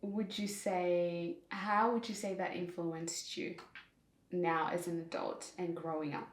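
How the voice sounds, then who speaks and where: A young woman hosting an interview, asking questions in a slow, gentle way. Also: fairly low pitch, flat delivery. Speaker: host. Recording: echoey room.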